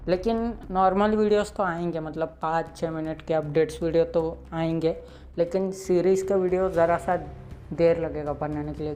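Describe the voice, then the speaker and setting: A man's voice: a little high, darkish tone. A teenage boy with a slightly sore throat, hosting his channel and casually recapping a cartoon episode with lots of fillers. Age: late teens. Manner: chatty, full of "matlab" fillers. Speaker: YouTube channel host.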